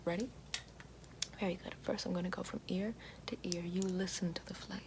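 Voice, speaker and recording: Soft whispering witch, a woman acting her out. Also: fairly low pitch, fairly even intonation, lightly acted. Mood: soothing. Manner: slow soothing, repeats praise. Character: calm gentle female witch guiding elements. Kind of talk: ASMR roleplay. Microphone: close binaural-style mic. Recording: noisy hiss behind voice.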